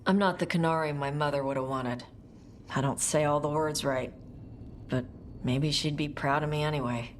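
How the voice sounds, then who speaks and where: A woman playing a blunt, brash young warrior with fire magic, talking gruffly and casually in a video game cutscene. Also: sits low, fairly even intonation, normal speed, slight background noise, close to an everyday voice. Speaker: party companion.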